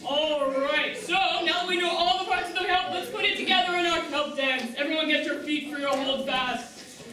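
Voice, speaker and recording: High-voiced, echoey manta ray teacher played by a woman. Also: moderate pace, bright, forceful tone. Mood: cheerful, encouraging. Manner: enthusiastic, encouraging, projecting to children. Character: upbeat manta ray schoolteacher leading young ocean explorers. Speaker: puppet show teacher and presenter. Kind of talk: interactive children's puppet show lesson. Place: large echoey room with live audience. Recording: echoey hall, distant, audience crowd behind.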